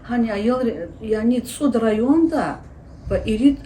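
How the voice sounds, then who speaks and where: Hesitant woman explaining, mellow voice. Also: fairly high pitch, animated, measured pace, a little hiss or hum, low-quality recording. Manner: explanatory, hesitant with frequent fillers. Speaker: Lezgian language teacher and researcher. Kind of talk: interview answers.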